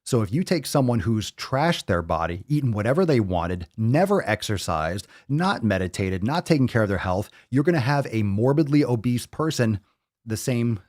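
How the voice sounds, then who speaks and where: A man's voice: mid-range voice, big pitch swings, moderate pace, darkish tone, dry recording, clean studio sound. A man in his thirties hosting a fitness podcast, lecturing bluntly with plenty of swearing. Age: 30s. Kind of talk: ranting livestream talk.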